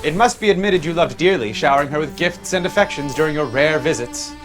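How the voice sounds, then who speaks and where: Man acting a mocking accuser, very animated pitch. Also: a little fast, natural voice. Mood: sardonic. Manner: mocking, pointed, dramatic. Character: sardonic, needling male accuser narrating a killer's crimes. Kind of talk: period stage play acting. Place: theatre stage. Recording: live theatre stage recording with music.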